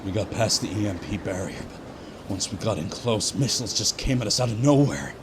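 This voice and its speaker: An actor playing a weary, gritty space soldier who records a grim personal log in an audio drama. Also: male voice, lowish voice, expressive, a little fast, in a large hall, noisy background, close to an everyday voice. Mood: grim, shaken. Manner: brooding, gritty, swears.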